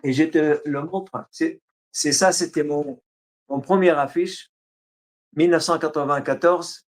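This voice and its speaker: Arabic-accented man recounting his past. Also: a little high. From Syria. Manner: halting, frequent fillers and restarts. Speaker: interview guest, writer. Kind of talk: autobiographical interview.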